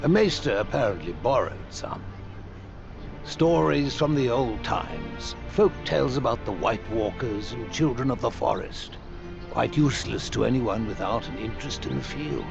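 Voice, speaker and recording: Game casting: shrewd dry archmaester, lively pitch movement, slightly muffled audio. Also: male voice. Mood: knowing, dry. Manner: dry, knowing, deliberate phrasing. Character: shrewd, blunt male archmaester, worldly scholar. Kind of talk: video game cutscene acting. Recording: dull muffled audio under clear music.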